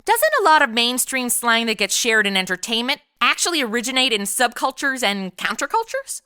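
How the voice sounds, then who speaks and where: A woman's voice: on the high side, sing-song, fairly quick, bright, forceful tone, clear, resonant tone, dry recording, clean studio sound. A woman hosting a podcast, asking curious questions to prompt her co-host. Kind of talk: scripted interview questions.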